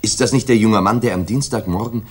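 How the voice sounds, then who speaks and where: An actor playing a genial, curious gentleman companion, conversational and inquisitive, in a 1960s radio drama. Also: lowish voice, a little fast, breathy edge.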